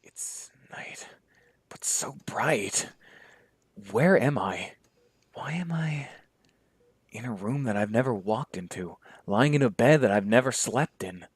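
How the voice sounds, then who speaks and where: A young man playing a dazed, sardonic male medic, talking in a wry inner monologue that slips into hushed whispers. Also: fairly low pitch, no room sound. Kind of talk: one-man voice acting.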